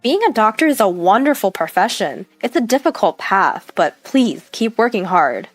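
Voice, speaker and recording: A woman voicing a polite, peacemaking housewife in a story, gentle and conciliatory. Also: medium pitch, very animated, normal speed, dry recording, soft background music. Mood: warm, polite. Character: polite, peacemaking older sister-in-law, a housewife.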